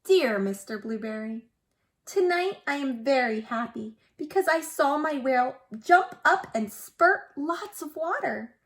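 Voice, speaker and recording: A woman's voice: high voice, lively intonation, unhurried. A woman voicing an earnest young girl writing letters in a picture-book read-aloud. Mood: eager, earnest.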